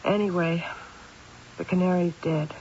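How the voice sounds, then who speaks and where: An actress playing an anguished, sleepless middle-aged wife with a wry bitter edge, in a dramatic, halting old-time radio style. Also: female voice, lowish voice, steady tone, a little mellow. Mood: weary, guilty. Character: anguished, insomniac wife and mother, wryly bitter. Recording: muffled, noisy old radio recording.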